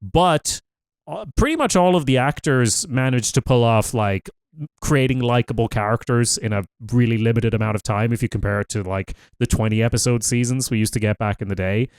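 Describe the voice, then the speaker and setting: A man's voice: medium pitch, fairly quick, clear, resonant tone, no echo. A man co-hosting a podcast, chatting casually about TV shows and thinking aloud with fillers. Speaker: podcast co-host. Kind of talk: casual TV review chat.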